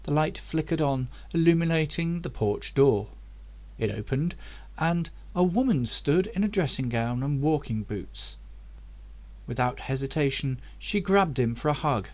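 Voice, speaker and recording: A man's voice: fairly high pitch, lively intonation, a little slow, darkish tone. A man reading his own novel aloud as scripted audiobook narration.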